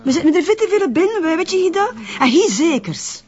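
A woman's voice: very high voice, sing-song, normal speed, darkish tone, muffled recording. A woman with a Flemish accent playing a weary, nagging partner in a radio crime drama, colloquial and pleading. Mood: pleading, exasperated.

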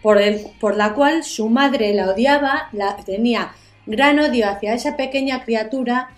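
Higher-pitched woman presenting over soft music. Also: unhurried. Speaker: segment presenter on a radio show. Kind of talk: conversational storytelling.

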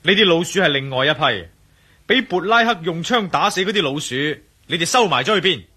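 A bold male adventurer in a radio drama, with a Hong Kong Cantonese accent. His delivery is commanding and suspicious, swinging widely in pitch with a sharp, slightly rough edge. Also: animated, a little fast, exaggerated voice. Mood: insistent, puzzled.

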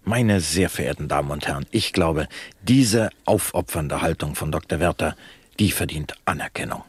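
An actor playing a slick, unctuous talk show host in a satirical radio play, flattering and theatrically solemn. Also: male voice, extremely low pitch, moderate pace, breathy edge, no room sound, full character voice. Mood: solemn admiration. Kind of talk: satirical radio play acting as broadcast presenter.

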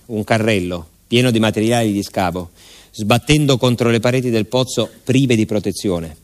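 A man giving solemn, measured, scripted documentary narration for radio theatre, with music behind him. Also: lowish voice. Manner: solemn, measured, deliberate phrasing. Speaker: actor-narrator.

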